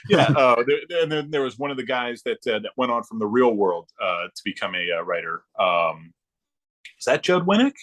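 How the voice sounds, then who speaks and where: A middle-aged man reminiscing casually, thinking aloud in a halting, informal way. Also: mid-range voice, animated, fairly bright tone, poor audio. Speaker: guest, former comics production worker turned librarian.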